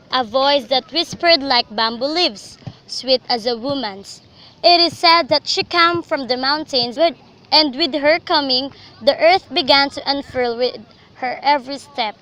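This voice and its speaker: Bright, high-pitched young woman narrating. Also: a little hiss or hum, poor audio. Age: young adult. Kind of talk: scripted radio drama narration, storytelling.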